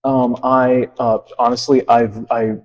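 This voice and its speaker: A man who teaches math, answering interview questions in a relaxed, friendly way with many fillers. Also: medium pitch, measured pace, lo-fi sound. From Lebanon.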